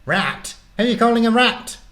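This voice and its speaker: A man's voice: very high-pitched, lively intonation, normal speed, a bit bright, pitched above the performer's own voice. A man voicing a tiny talking guinea pig in a storybook, panicky, whiny and easily indignant.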